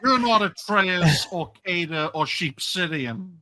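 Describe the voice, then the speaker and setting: A man's voice: sits high, lively intonation, slow, pushed and bright, dry recording. A man who is a regular on a gaming podcast, joking with mock pride in casual chat.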